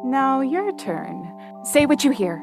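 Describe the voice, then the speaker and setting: An actress playing a playful, affectionate young wife in intimate audio drama dialogue. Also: female voice, high voice, sing-song, takes it slowly, vocal fry, music in the background, heavily acted. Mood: playful, tender. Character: playful, affectionate wife, keen amateur photographer.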